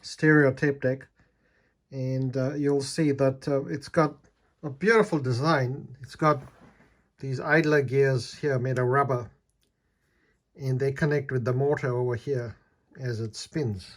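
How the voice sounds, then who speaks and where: A man's voice: mid-range voice, fairly even intonation, measured pace. A man giving a casual, unscripted hands-on demonstration, explaining things a little hesitantly as he goes. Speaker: hobbyist demonstrating a repaired tape deck.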